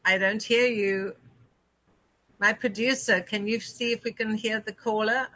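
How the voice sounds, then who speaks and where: Bright, effusive woman host from South Africa; dry studio sound. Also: medium pitch, fairly even intonation, measured pace, no room sound, poor audio. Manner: chatty, effusive, with frequent fillers. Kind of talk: call-in interview show.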